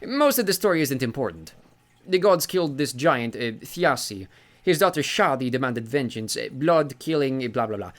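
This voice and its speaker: A man's voice: mid-range voice, very animated, normal speed, pitched above the performer's own voice, natural voice. A man voicing a vain, cheerful ancient male sea god in an audiobook, breezy and self-satisfied. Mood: delighted. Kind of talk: audiobook character voice.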